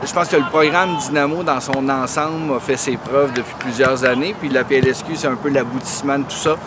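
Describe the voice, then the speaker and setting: A man's voice: medium pitch, fairly even intonation, a little fast. A man speaking as a club representative, explaining steadily in an outdoor interview. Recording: noisy outdoor background.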